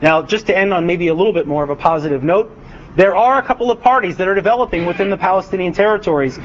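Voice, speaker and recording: A man's voice: sits high, fast. A young adult man giving a lecture as an author, speaking with frequent hesitations and restarts. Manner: hesitant, many uhs and restarts. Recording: dull lo-fi recording, faint noise.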